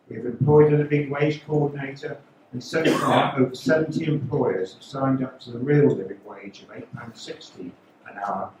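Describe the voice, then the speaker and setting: Man, local candidate, prepared speech, steady list of points, very echoey hall, faint background hiss. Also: medium pitch. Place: school hall at a public meeting.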